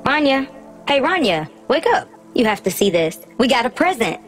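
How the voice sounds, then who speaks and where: An eager young child in a game drama. The voice is high and animated, chatty and anxious to please, over faint music. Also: female voice, normal speed. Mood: excited. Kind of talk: video game character acting.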